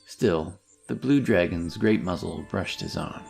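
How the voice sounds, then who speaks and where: Low gravelly guy reading a fantasy tale, music playing underneath. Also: a little slow, darkish tone. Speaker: narrator. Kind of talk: scripted fantasy story narration.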